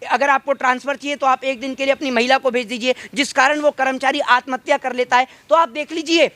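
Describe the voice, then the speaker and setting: Male interviewee, high-pitched and argumentative, repeats points for emphasis, dry close sound. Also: steady tone, fast, pushed and bright, dry recording. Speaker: interviewee arguing political views. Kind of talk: heated impromptu political street debate.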